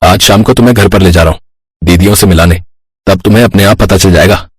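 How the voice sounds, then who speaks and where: A man's voice: sits low, quick pace, husky, no echo. A man playing a cheerful, affectionate young brother in a family story. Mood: earnest.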